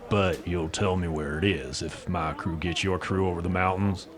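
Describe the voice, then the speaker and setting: A man as a very deep-voiced shady tavern dealer. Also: steady tone, normal speed, lightly acted. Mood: skeptical, guarded. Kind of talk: fantasy audio drama dialogue. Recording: tavern crowd murmur behind. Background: busy tavern chatter.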